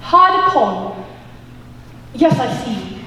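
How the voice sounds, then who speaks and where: A woman's voice: a little high, very animated, extremely slow, exaggerated voice. A young woman playing a bewildered, unprepared actor bluffing through a stage play, hesitant and awkwardly polite. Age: young adult. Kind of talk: stage comedy acting. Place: large indoor school auditorium, on stage. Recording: echoey auditorium, distant mic, faint noise.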